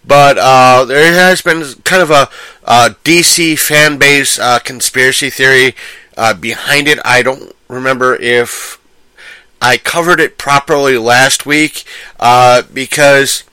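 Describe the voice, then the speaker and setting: Solo host, man, nasal from a cold, bright clear voice, rambling delivery. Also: medium pitch, no echo, rough recording. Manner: rambling, halting, self-correcting. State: has a cold, sounds nasal. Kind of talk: casual solo movie-news commentary.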